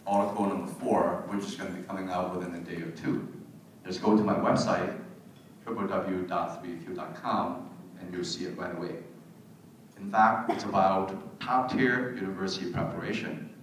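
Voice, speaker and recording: Low-voiced man speaking in an echoey hall. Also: a bit bright, a little hiss or hum. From Hong Kong. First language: Cantonese. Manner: emphatic, repeats key points, asks the audience to respond. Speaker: keynote speaker. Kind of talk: keynote speech to parents and students. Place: event hall. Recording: very echoey hall, mic at a distance.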